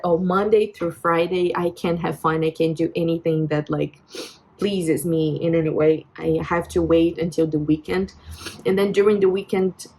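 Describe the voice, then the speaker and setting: A young Brazilian woman in her twenties talks into her phone in English, her second language, with a Portuguese-tinged accent. Her voice sits a bit low and her pace is very slow. She sounds reflective and hesitant, full of fillers and restarts. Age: 20s. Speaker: vlogger. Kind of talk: personal venting vlog.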